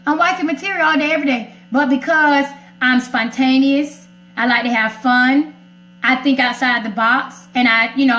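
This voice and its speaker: A woman's voice: high-pitched, a bit bright. An American woman hosting a casual radio chat, outspoken, sassy and emphatic as she gives her opinions. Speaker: radio segment host. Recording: roomy echo.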